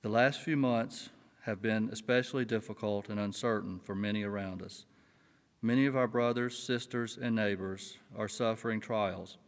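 An older man leading worship from a church pulpit, reading scripture and praying in a measured, earnest way. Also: fairly low pitch, steady tone. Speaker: worship leader. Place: church sanctuary. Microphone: pulpit mic. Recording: church hall with long reverb.

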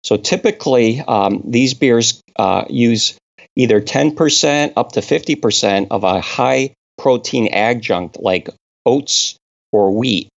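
A man from Connecticut, a brewing scientist, explaining technical details in an interview, halting with many fillers. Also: medium pitch, gravelly. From Connecticut, New England. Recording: dull lo-fi sound.

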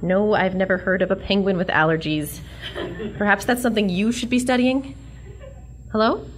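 A woman's voice: mid-range voice, normal speed, slight background noise, close to an everyday voice, low-quality recording. A woman playing a plucky, wisecracking young museum worker in a comic radio drama, perky and sassy. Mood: polite exasperation.

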